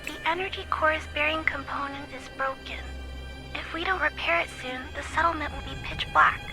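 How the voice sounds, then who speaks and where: High, earnest little girl, played by a woman. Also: moderate pace, close to an everyday voice. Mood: worried, resolute. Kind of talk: anime-style game voice acting. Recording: recorded message with music behind.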